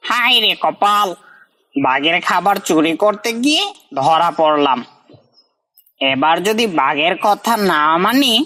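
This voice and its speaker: A woman's voice: lowish voice, a bit bright. A woman voicing a small, hungry, cunning fox in a cartoon, servile to superiors and grumbling to itself. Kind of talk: scripted cartoon dialogue.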